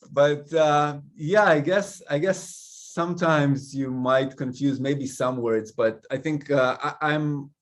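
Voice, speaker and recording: Man, thoughtful and measured. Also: a little high, fairly even intonation. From Israel. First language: Hebrew. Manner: thoughtful, measured, frequent uh fillers. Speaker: guest, company CEO. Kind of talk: casual interview chat.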